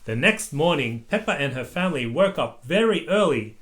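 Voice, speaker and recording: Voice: medium pitch, sing-song, normal speed, a bit bright, a little hiss or hum. A parent reading a children's story aloud expressively, with patient explanatory asides to a small child.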